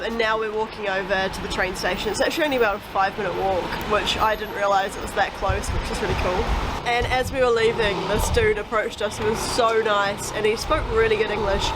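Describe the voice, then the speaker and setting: Upbeat young New woman on a noisy street. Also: medium pitch. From New Zealand. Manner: upbeat, chatty, with "like" and "um" fillers. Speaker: travel vlogger. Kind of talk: casual vlog narration. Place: outdoors in a city. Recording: street noise outdoors.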